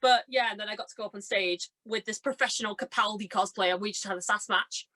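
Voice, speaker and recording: A woman's voice: medium pitch, quick pace, sharp tone. A young woman in her twenties, a podcast guest, chatting casually and enthusiastically, telling anecdotes and acting out what people said. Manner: bubbly, giggly, enthusiastic storytelling with acted-out quotes. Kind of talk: casual chat, animated anecdotes.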